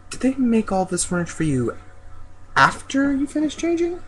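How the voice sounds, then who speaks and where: A man's voice: very high-pitched, wildly swooping pitch, normal speed, fairly bright tone, a little hiss or hum, voice pushed up, exaggerated voice. A man voicing a curious, polite and hesitant male newcomer in an amateur storybook reading, tentative and questioning.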